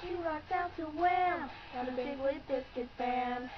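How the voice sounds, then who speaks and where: A woman's voice: sits high, measured pace. A young woman singing along to pop-rock in an amateur, playful way at home. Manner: playful, giggly. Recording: dull lo-fi home recording with loud music. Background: pop-rock song playing.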